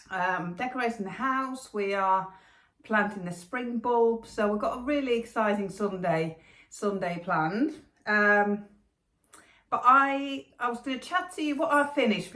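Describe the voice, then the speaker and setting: A middle-aged woman talks casually, pausing often on fillers and restarting her sentences. Her voice sits at an ordinary middle pitch. The room around her is noticeably echoey. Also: a bit bright. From Finland. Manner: chatty, with many fillers and restarts. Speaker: knitting podcast host. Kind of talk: casual chat to camera.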